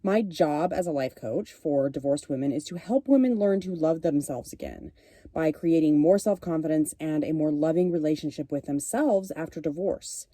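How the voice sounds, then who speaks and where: A woman's voice: deep voice, lively intonation, normal speed, dark tone, dry recording, clean studio sound. A woman podcast host and confidence coach with a cold, giving an earnest, encouraging solo talk. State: has a cold.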